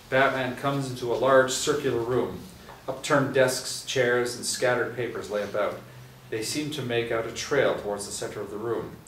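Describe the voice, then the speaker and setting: A man reading a screenplay's scene directions aloud at a table read, steady and measured. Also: medium pitch. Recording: echoey room from far off with faint noise.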